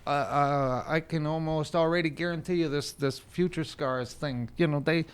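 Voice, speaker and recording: A man acts out a shifty older lawyer for a radio comedy sketch. The voice sits a little high and keeps stammering, doubling back on words in an evasive, pushy way. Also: steady tone, moderate pace, smooth, lightly acted. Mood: sly. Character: shifty, stammering older male celebrity lawyer pushing a scheme. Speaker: studio guest.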